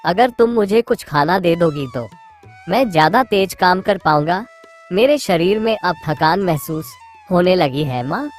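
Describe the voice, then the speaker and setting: A woman's voice: fairly low pitch, animated, measured pace. A woman voicing a meek, hungry, overworked stepson in a moral-story cartoon, plaintive and submissive, with music underneath. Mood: plaintive, weary.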